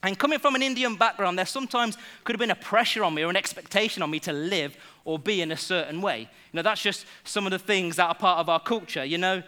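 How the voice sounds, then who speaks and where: Bright, high-voiced preacher. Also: male voice, fast, hardly any pauses. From England. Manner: lively, jokes with the congregation. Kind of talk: sermon.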